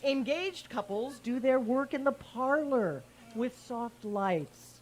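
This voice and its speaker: A woman's voice: high-pitched, expressive, takes it slowly, a little mellow. A woman playing a wry, lovestruck, scheming schoolteacher in a vintage radio sitcom, arch and gushing with endearments. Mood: wry, coaxing. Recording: noisy live raw event recording.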